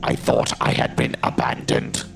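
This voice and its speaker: A man's voice: measured pace, poor audio. A man playing a ruthless, defiant alien war machine in an audio drama, with staccato, menacing delivery and robotic modulation. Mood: cold, defiant.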